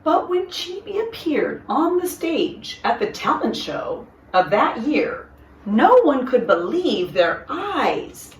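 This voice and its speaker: Echoey, expressive woman reading a storybook. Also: high-pitched, slow pace. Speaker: storyteller reading a children's picture book. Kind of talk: expressive read-aloud of a children's story. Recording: roomy echo, distant from microphone.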